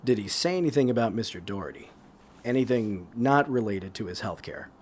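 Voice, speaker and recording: An actor playing a gentle but persistent male government investigator in an audio drama, measured and polite. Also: lowish voice, expressive, a little hiss or hum, close to an everyday voice. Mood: probing. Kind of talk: naturalistic audio drama acting.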